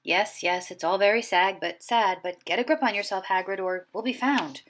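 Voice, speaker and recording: A stern older witch professor, brisk and hushed, as a woman reads her in a storybook. She speaks quietly and keeps control. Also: mid-range voice, close to an everyday voice.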